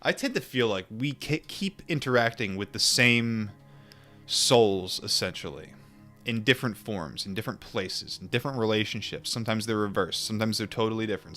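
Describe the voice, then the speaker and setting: Guy with a lowish voice reading a book and chatting casually, kinda reflective, faint music under him. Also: a bit bright. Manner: musing, informal, with pauses and repetitions. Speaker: streamer reading a book aloud and chatting with viewers. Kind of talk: book read-aloud mixed with casual reflective chat.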